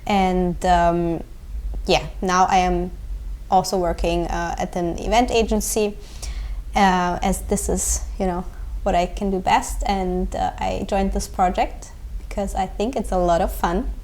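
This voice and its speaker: Woman with a halting delivery. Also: lowish voice, fairly even intonation, a little mellow. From Germany. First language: German. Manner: friendly, halting, many fillers. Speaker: podcast producer.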